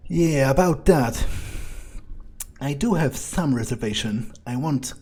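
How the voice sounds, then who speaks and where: A man's voice: medium pitch, animated, slow, darkish tone, husky. A man doing an in-character game playthrough, musing aloud with plenty of swearing and hesitant phrasing. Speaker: gamer playing in character. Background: game music.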